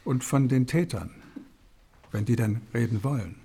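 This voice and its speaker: An actor playing an earnest, solemn television talk show host in a radio drama, husky-voiced, with a grave, measured, rhetorical way of presenting. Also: male voice, medium pitch, warm tone.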